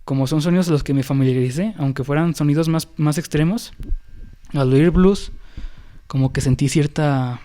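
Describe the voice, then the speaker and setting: A man's voice: a little high, moderate pace, dark tone. A young man with a Mexican accent, hosting a casual podcast chat about music history and talking in a rambling way with many fillers and restarts. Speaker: podcast host.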